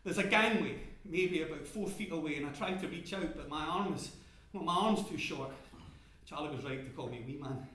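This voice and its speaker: Man acting a small scared rig worker, high tense voice, stammery and sweary, super echoey. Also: fairly quick, fairly bright tone, lightly acted. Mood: desperate, straining. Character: small, scared oil rig worker refusing to follow his friend. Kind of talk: dramatic first-person stage monologue. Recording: very echoey room.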